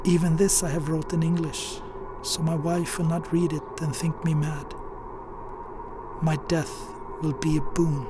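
An actor playing a haunted Scandinavian sailor, narrating gravely and wearily in a radio drama, not speaking in his native language. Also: fairly high pitch, noisy background, close to an everyday voice. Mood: weary, bleak. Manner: grave, weary, confessional. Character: haunted Scandinavian sailor recounting a horror at sea.

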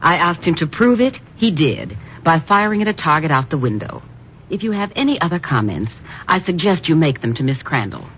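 A woman's voice: low voice, sing-song, fairly quick. An actress playing a poised, arch, flirtatious society woman in a 1950s radio drama. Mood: cool, haughty. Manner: formal, arch. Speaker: radio actor. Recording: muffled, hissy old radio recording.

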